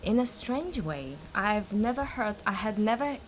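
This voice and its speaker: A woman's voice: medium pitch, animated, a little slow, a little hiss or hum. A woman from Russia, a narrative therapist recalling her path, speaking English hesitantly and searching for words. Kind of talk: personal reflection.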